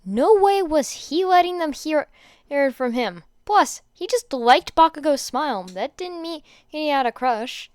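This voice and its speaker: A woman reading fan fiction aloud in an informal, expressive way, stumbling over words now and then. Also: sits high, measured pace, no echo. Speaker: podfic reader. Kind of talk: amateur fan fiction reading with chatty asides.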